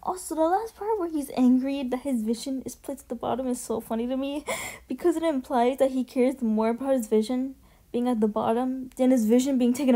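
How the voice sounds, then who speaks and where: A young woman with a fairly high voice and a slightly soft, mellow tone records a casual commentary voice-over. She talks like an enthusiastic fan, full of slang and casual swearing, with music playing behind her. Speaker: video essay host.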